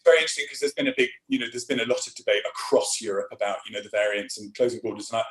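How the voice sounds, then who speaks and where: A man hosting a panel discussion, speaking quickly and peppering his questions with "you know". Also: lowish voice, a bit bright, roomy sound, low-quality recording. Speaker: host.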